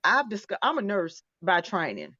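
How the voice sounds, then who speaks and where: A woman's voice: medium pitch, huge pitch swings, normal speed, pushed and bright, highs cut off, a little hiss or hum. A woman in her fifties hosting an interview in casual chat, talkative and often laughing as she goes. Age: 50s. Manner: chatty, laughing, restarts often. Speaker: podcast host. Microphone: tablet.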